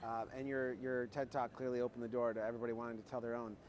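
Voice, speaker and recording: A man's voice: medium pitch, little pitch movement, very fast, darkish tone, slight background noise. A man hosting an interview, asking long, rambling questions. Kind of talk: conversational interview. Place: trade show floor.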